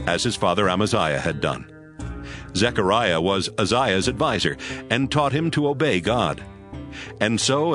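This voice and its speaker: A man's voice: lowish voice, a bit bright. A man reading scripture as scripted narration for an audio Bible. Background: music.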